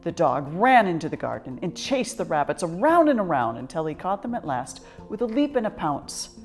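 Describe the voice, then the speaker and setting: Animated woman reading a children's story. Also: on the low side, moderate pace, faint music behind. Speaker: storybook reader. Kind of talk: expressive children's story narration.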